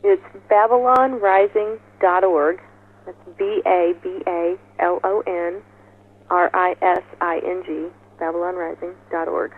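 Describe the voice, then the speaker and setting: Woman guest phoning in to an interview, lowish voice, muffled phone sound. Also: fairly even intonation, a little slow, breathy edge. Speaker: festival organizer, interview guest. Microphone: telephone. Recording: muffled, band-limited phone line.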